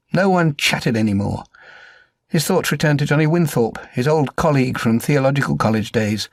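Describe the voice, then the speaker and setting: Measured male audiobook narrator, dry sound. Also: mid-range voice, dry recording. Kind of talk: scripted audiobook narration of a crime novel.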